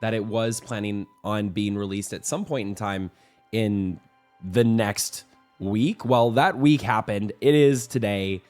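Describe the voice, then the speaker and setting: Young male game-news host, low-ish voice, slightly hoarse, upbeat and rambling, light music bed. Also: measured pace, a little mellow. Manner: upbeat, rambling, with frequent restarts and fillers. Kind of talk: casual game news commentary.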